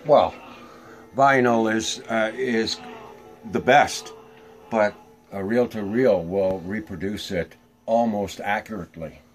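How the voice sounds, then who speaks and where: A man's voice: fairly low pitch, slow pace, long pauses, soft background music. An older man giving a casual hands-on demonstration, talking tersely as he works indoors. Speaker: reel-to-reel technician. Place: small room indoors.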